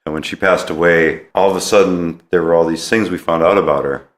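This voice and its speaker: A man's voice: sits low, steady tone, a little fast. An American man hosting a casual interview podcast, speaking in a supportive, affirming way. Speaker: podcast host.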